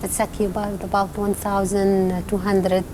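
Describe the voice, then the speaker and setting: A woman guiding a tour through a large prayer hall, speaking haltingly with pauses and fillers. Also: medium pitch, level tone, noisy background. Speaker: mosque tour guide. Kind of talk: guided tour talk.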